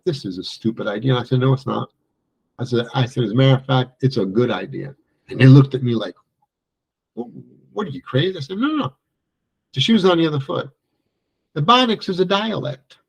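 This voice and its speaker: A man's voice: medium pitch, husky, poor audio. A middle-aged man from Italy giving a conversational recorded lecture, telling stories with animation. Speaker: guest lecturer.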